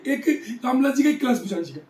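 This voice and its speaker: A man's voice: very high voice, sing-song, fast, darkish tone. A man giving political commentary in an interview, emphatic and repeating phrases for effect. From Haryana, India. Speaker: former local chairman being interviewed. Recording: roomy, echoing sound.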